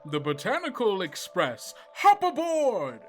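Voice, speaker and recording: A man's voice: sits high, huge pitch swings, faint music behind, pitched above the performer's own voice, exaggerated voice. A man voicing a manic, sinister carnival train conductor in a theatrical barker's manner, for a tabletop game. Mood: gleefully menacing. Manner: theatrical barker, exclaiming and drawn-out.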